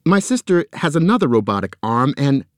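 Joe, a man doing an eager high voice. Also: sing-song, a little fast, no room sound, clean studio sound, close to an everyday voice.

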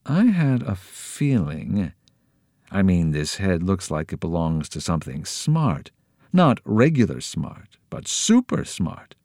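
A man reads as an odd, greedy hermit in a children's story, whose voice jumps high and sing-song on key words. His pitch range is lively, and he sounds close and dry. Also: takes it slowly, dry recording, exaggerated voice. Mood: scheming. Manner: sing-song, swooping excitedly. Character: eccentric greedy adult male hermit.

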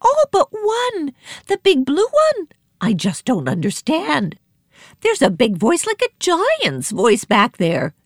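A woman's voice: a little high, a bit bright, no echo, studio quality, pitched above the performer's own voice, exaggerated voice. A woman voicing a chatty, easily flustered woman shopkeeper in a storybook, with a rambling, emphatic manner.

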